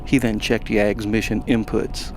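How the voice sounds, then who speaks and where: A man narrating a science fiction audio story in a measured, even way. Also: fairly low pitch, background noise.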